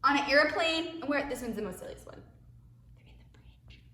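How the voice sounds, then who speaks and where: Echoey, high-voiced woman reading to small children. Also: moderate pace. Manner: expressive, with hushed asides and gasps to young listeners. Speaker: preschool teacher. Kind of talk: animated picture-book read-aloud for young children. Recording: echoey room.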